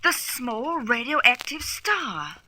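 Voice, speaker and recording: Bright, inquisitive spacewoman. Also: on the high side, big pitch swings, takes it slowly, dry recording, exaggerated voice. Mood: puzzled, eager. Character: inquisitive, sensible female space explorer. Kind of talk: old-fashioned space adventure radio acting.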